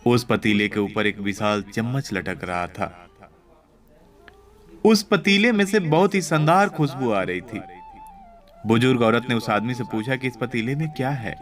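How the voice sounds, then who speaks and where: A man narrating a devotional moral story in an expressive, instructive storytelling style, addressing listeners directly. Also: mid-range voice, a little slow. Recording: clear background music under voice. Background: music.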